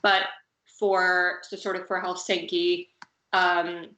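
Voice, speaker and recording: A woman's voice: lowish voice, flat delivery, slow pace, bright, forceful tone, reverberant, rough recording. A woman from California, a fashion designer hosting a casual live-streamed conversation, hedging with many fillers. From California, United States. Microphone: video call.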